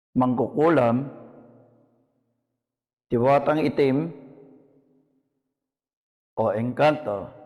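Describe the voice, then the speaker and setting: A man's voice: mid-range voice, darkish tone, big-room sound. A man with a Filipino accent giving scripted educational narration as the host.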